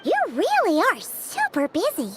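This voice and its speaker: A woman voicing a tiny, excitable, naive fairy companion girl in a video game, with a childlike, wide-eyed way of talking. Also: extremely high pitch, a little slow, heavily acted. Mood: impressed. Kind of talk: scripted video game character dialogue. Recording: loud music under the voice.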